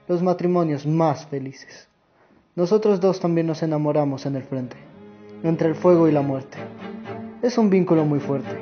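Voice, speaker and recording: A man's voice: on the high side, lightly acted. A man playing an earnest, sorrowful old war veteran and grandfather in a radio drama monologue. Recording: muffled audio with music underneath.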